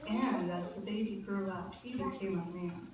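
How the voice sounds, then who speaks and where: A woman's voice: mid-range voice, moderate pace, lightly acted. Woman as an earnest innocent girl, very echoey hall. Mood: earnest, eager. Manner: earnest, explanatory storytelling. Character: innocent, earnest little girl puppet who knows her Bible. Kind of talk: church puppet show acting. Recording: very echoey hall, far mic, noisy.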